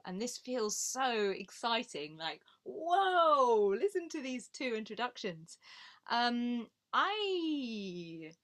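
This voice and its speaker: A woman's voice: a little high, a bit bright. A woman giving an informal introduction on an online panel, reflective and earnest, feeling her way through her thoughts. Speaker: panelist, clown and laughter yoga facilitator. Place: online video call.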